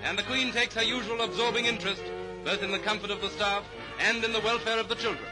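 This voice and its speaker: A man's voice: high voice, fast. A man giving formal, clipped 1930s newsreel narration in a declamatory, upbeat manner, on an old newsreel soundtrack. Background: orchestral music.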